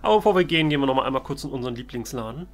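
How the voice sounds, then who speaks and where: A man's voice: medium pitch, lively intonation, racing, soft background music. A man doing casual, in-character let's play commentary, musing and thinking aloud. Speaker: let's player.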